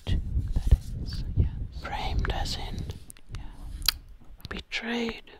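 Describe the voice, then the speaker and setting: A woman voicing a sly, menacing witch in a close-miked ASMR roleplay, in a breathy whisper, lingering on and repeating words. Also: slow, lightly acted. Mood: eerie, storytelling. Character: sly, menacing witch who hunts victims for their bodies. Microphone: close microphone.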